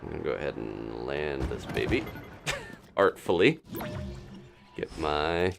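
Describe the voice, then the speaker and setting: Deep-voiced, animated man streaming a game. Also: slow, gravelly, music in the background. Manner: chatty, thinking aloud in unfinished asides. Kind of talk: casual live gameplay commentary.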